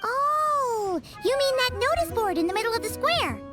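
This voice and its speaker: Childlike game fairy sidekick, extremely high squeaky voice, excitable, slow-paced, music behind. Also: female voice. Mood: curious. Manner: exclamatory, refers to self in third person. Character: tiny excitable female floating fairy companion, childlike. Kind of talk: video game cutscene acting.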